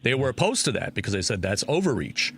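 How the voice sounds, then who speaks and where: A man's voice: medium pitch, big pitch swings, fast talker, vocal fry, fairly bright tone, slight background noise. A man hosting a talk radio show, chatting loosely with many fillers and restarts. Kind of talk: casual talk radio discussion.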